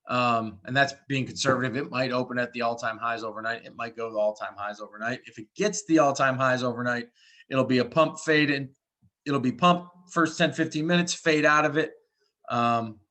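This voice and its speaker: A man's voice: medium pitch, fairly bright tone. A man hosting a trading call, giving informal live commentary and explaining conversationally, often repeating and rephrasing his points. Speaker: trading call host, trader.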